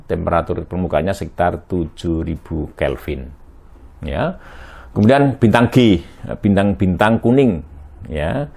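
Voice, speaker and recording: A middle-aged man with a very deep voice teaches an astrophysics lecture. He speaks in a halting way, filling pauses with hums and tag questions. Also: gravelly, darkish tone, slightly rough. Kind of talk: recorded university lecture.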